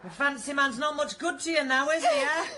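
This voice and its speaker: Bossy Northern English workhouse matron, high and very animated, sneering, colloquial, period radio drama. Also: female voice, fairly quick, a crowd in the background. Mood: gloating, menacing. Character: bossy, gloating female workhouse matron, coarse and mocking.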